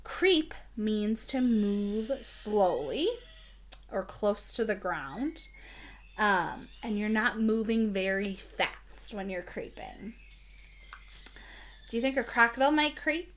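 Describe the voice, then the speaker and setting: A woman's voice: mid-range voice, lively intonation, takes it slowly, long pauses. A woman teacher introducing a read-aloud for young children, explaining things simply and asking the listener questions. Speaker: elementary school teacher.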